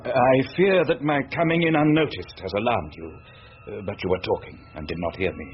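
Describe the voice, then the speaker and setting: A man's voice: mid-range voice, normal speed, close to an everyday voice. A man playing a courteous, mysterious stranger, formal and apologetic, in an old-time radio drama. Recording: muffled, hissy old radio drama recording.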